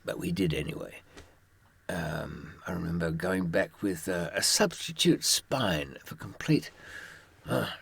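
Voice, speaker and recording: A man's voice: low-pitched, very animated, measured pace, creaky, fairly bright tone, breathy edge. An older man, telling anecdotes and giving advice from experience in a wry, droll raconteur's manner. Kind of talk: anecdotal storytelling to listeners.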